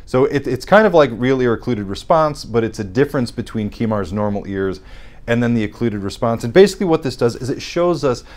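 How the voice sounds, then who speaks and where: A man in his thirties, a hearing scientist guest, calmly explaining technical lab procedures in an interview on a studio set. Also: mid-range voice, fairly quick. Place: dark studio set with armchairs.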